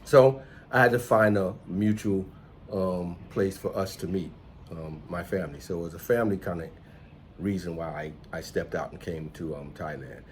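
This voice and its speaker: A middle-aged American man hosts a casual vlog in a deep voice. He talks in a rambling, reflective way. There is slight noise behind him. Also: moderate pace. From United States, New York City. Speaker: host, entrepreneur and musician. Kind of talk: casual conversational vlog chat.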